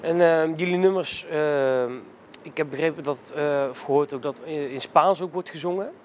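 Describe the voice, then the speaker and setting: A man's voice: fairly high pitch, normal speed, slight background noise. A young man in his twenties interviewing a band, asking his questions casually with many filler sounds. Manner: friendly, searching questions with fillers. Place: venue backstage lounge, indoors.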